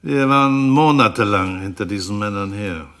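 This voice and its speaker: A man acting in a German radio drama as a formal official, ceremonious and unctuously polite in a measured way. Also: lowish voice, fairly bright tone. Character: smooth-talking, formal official thanking with grand courtesy.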